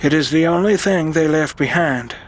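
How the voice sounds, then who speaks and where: Actor as a solemn old uncle, noisy track. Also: male voice, a little high, normal speed, lightly acted. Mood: weary, fond. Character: frail old uncle, devout, commanding, fond mentor. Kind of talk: audio drama acting.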